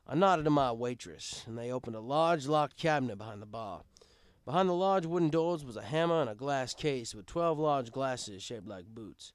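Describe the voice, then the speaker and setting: A man's voice: mid-range voice, lively intonation, normal speed, no echo, studio quality. A man reading a scripted first-person story as an audiobook narrator, with dramatic emphasis. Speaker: audiobook reader.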